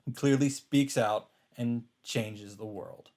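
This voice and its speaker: A man's voice: mid-range voice, slow, darkish tone. A man reading a visual novel aloud as a let's player, in dramatic narration. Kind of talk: dramatic read-aloud narration with casual asides. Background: game music.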